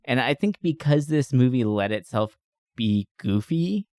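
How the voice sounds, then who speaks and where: A man's voice: mid-range voice, animated, unhurried, warm tone, dry recording, studio quality. A man co-hosting a podcast in an enthusiastic, informal chat, swearing casually. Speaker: podcast co-host. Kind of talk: casual chat about movies.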